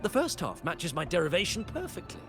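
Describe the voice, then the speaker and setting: Agitated researcher, higher-pitched and swooping. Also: male voice, huge pitch swings, brisk, gravelly, exaggerated voice. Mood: agitated, obsessed. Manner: intense, urgent, talking to himself. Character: driven young male researcher, agitated and defiant. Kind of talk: dramatic video game voice acting. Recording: game music behind the voice.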